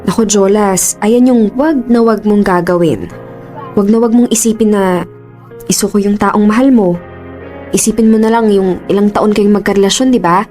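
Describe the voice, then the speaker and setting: A woman's voice: mid-range voice, normal speed, warm tone, lightly acted. An actress playing a composed but caring young female office boss in a Filipino radio drama, speaking earnestly with some English mixed in. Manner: earnest, conversational, mixes English into speech. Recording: music under the voice. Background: background music.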